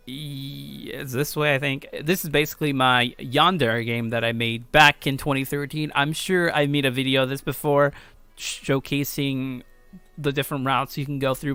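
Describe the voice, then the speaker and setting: A man's voice: medium pitch, fairly even intonation, normal speed, pushed and bright, clear, resonant tone, no room sound. A young adult man giving casual, rambling let's play commentary as a video host, offhand and self-deprecating about his own work. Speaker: video host and amateur game creator. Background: background music.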